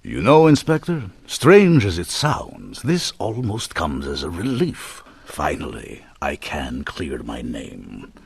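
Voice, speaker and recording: An actor playing a suave, murderous doctor in a radio mystery, mock-courteous and gloating in a theatrical way. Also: male voice, a bass voice, huge pitch swings, takes it slowly, creaky, few pauses, a little hiss or hum, exaggerated voice. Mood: smooth, courteous. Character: suave, taunting male doctor villain, swindling murderer. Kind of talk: old-time radio mystery acting.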